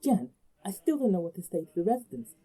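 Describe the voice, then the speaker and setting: Nervous lispy care home worker, meek, super mellow tone, audio drama. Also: male voice, high voice, lively intonation, fast talker, poor audio. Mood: uneasy. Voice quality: lisp. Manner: reluctant, meek. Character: timid, uneasy, obedient male care home worker. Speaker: actor.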